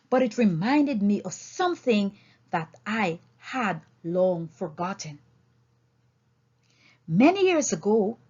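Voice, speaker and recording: A woman voicing an old male boar, a solemn, rousing orator addressing fellow animals in an audiobook, deliberate and emphatic. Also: a little high, muffled recording, pitched below the performer's own voice. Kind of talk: audiobook character speech and song.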